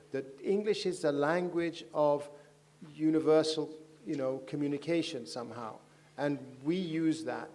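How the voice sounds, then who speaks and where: Gravelly man on a panel, halting. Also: a little high, a little mellow. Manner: thoughtful, halting, with many restarts. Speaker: novelist on a festival panel. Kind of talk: panel discussion. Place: large festival stage.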